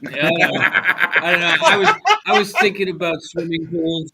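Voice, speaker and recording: A man's voice: a little high, very animated, normal speed, bright, forceful tone, a little hiss or hum, low-quality recording. A man chatting casually as a panelist on a talk show. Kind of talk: casual chat.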